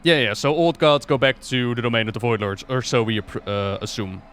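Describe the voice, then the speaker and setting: A man giving casual, excited and conversational reaction commentary as a gaming lore creator. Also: medium pitch, a little fast, a little hiss or hum.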